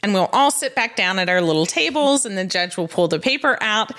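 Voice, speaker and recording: A woman's voice: mid-range voice, animated, fairly quick, a bit bright. Female legal podcast co-host, middle register, conversational explainer who acts out bits of dialogue. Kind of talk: casual explanatory podcast chat.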